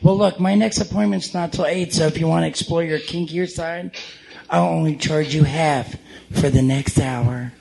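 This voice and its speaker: A man voicing a flirty, worldly female prostitute in a comic-book radio drama, with a creaky, teasing drawl. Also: moderate pace, a little mellow, low-quality recording. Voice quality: creaky, high.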